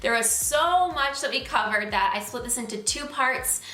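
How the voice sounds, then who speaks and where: A woman giving a brisk, inviting intro to a podcast episode. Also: on the high side, lively intonation. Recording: roomy intro over soft music.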